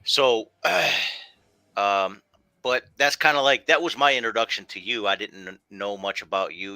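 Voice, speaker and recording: Male host, low voice, super sharp and bright, kinda rambles along. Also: smooth, no room sound. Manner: rambling, loosely phrased. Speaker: show host. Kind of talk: casual interview chat.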